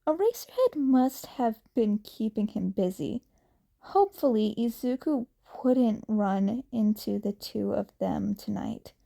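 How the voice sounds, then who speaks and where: Female story reader: high, soft-toned and unhurried, with animated intonation for audiobook-style narration. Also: creaky. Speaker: podfic reader. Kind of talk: fan fiction narration, audiobook-like.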